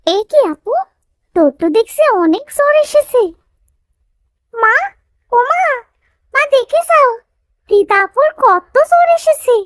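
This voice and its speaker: A woman's voice: very high voice, sing-song, slow pace, long pauses, a bit bright, no room sound, studio quality, heavily acted. A woman voicing a caring, anxious young village sister who pleads and fusses in a cartoon story. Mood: alarmed.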